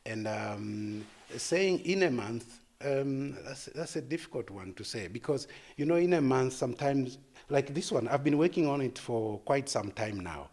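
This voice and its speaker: A man of about sixty, giving halting unscripted interview answers and repeating words as he thinks. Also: mid-range voice, expressive, darkish tone. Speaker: artist and gallery founder being interviewed.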